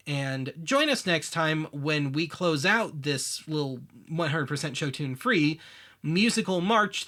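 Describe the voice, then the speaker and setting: A man presents a show in a casual, wry way. His voice sits a little high and sounds bright and forceful, as he speaks informally straight to his viewers. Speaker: host of a vintage media show. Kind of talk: casual spoken commentary to viewers.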